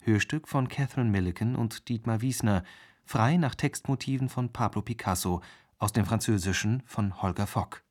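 A man's voice: fairly low pitch, normal speed, a little mellow. A man reading scripted credits as the announcer of a radio play.

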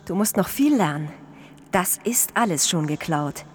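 A woman's voice: lowish voice, animated, unhurried, husky. An actress playing a brash, streetwise homeless woman, colloquial and urging, in a German radio crime drama. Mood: coaxing, mocking. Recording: noticeable background noise.

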